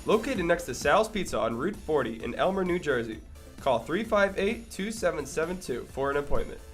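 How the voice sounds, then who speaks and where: A man reads a radio ad in a very lively, varied pitch. Faint music runs underneath him. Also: normal speed. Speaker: advertisement voice. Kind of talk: radio ad read.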